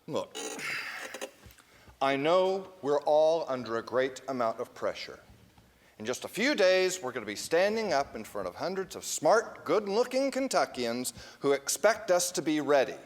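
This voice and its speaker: An actor playing an earnest director of an amateur play, firmly rallying a cast. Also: male voice, on the high side, big pitch swings, a little slow. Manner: firm, pep-talk delivery. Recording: reverberant hall.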